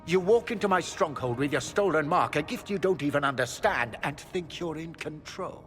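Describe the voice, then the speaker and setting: An actor playing a middle-aged, courtly and condescending magister, a scheming villain in a fantasy video game. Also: male voice, fairly high pitch, lively intonation, moderate pace, a bit bright. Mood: scornful. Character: haughty, scheming Tevinter magister, smooth-talking villainous father. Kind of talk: dramatic video game acting. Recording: long hall reverb, music behind.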